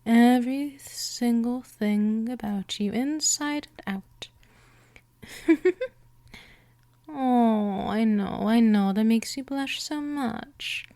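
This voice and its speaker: A woman playing a gentle but dominant vampire mistress in a scripted hypnosis ASMR roleplay, soothing and teasing, often slipping into whispers. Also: a little high, slow pace.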